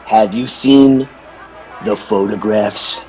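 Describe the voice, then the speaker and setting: An actor playing a troubled, secretive circus clown in an old-time radio play, speaking deliberately and ominously. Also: male voice, fairly low pitch, some chatter behind. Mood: secretive, tense. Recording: dull, lo-fi call audio.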